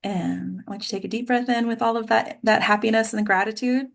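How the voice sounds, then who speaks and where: A woman's voice: on the high side, fairly even intonation, fairly quick, vocal fry. A woman casually hosting an online group of actors as their coach, informal and encouraging as she guides them over a video call. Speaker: host and acting coach. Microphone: computer mic on a video call.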